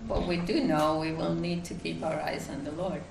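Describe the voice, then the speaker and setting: A woman with a Finnish accent gives a devotional talk at a retreat, pausing often with fillers and restarts. Her voice sits low and has a gravelly edge. She is recorded from across a reverberant room, with faint background noise. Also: steady tone, darkish tone, far from the mic. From Finland. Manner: halting, many fillers and restarts. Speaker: retreat speaker.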